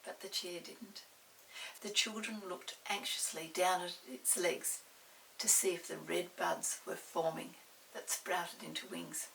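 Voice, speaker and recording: Older woman, children's storyteller, bright tone, dramatic expressive narration, slightly distant, faint hiss. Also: fairly low pitch, measured pace, gravelly.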